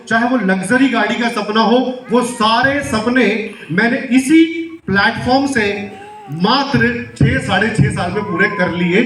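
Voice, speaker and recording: A man's voice: very high-pitched, a bit bright, recorded from a distance. A man from Delhi giving a motivational seminar talk, asking the audience questions as he goes. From Delhi, India. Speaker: seminar speaker. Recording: echoey hall, mic heard from afar.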